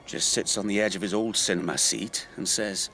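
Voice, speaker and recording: An actor playing a rueful rural man in a naturalistic radio drama, telling his memories in a halting, conversational way. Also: fairly low pitch, steady tone, pushed and bright, faint music behind, rough recording. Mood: nostalgic, halting. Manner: halting, reflective, conversational storytelling. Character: rueful rural man recalling an old friend's tragedy.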